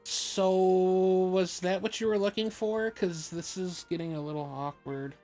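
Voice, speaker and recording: A woman's voice: fairly low pitch, a little slow, warm tone, soft background music, natural voice. A woman voicing an awkward, easygoing young adventurer in an improvised comedic fan dub, hesitant and conversational.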